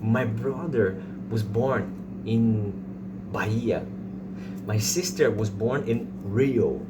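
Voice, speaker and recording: A man's voice: lowish voice, extremely slow. Casting: Brazilian man, thirties, didactic tutor who repeats examples, recorded away from the mic in heavy noise. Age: 30s. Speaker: English teacher. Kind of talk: informal explanatory language lesson with slow example sentences. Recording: distant mic, loud background noise.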